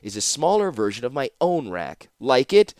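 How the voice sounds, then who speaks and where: Man voicing a sardonic woman torturer, wide-swinging pitch. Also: normal speed, dry recording, studio quality, natural voice. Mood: gleeful. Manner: mocking, calmly menacing. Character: cruel, breezily sardonic red-haired woman torturer.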